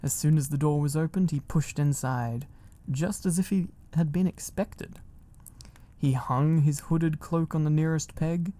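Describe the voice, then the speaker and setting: A man reading a storybook aloud in a gentle, cosy, unhurried ASMR style. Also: fairly high pitch. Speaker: ASMR narrator.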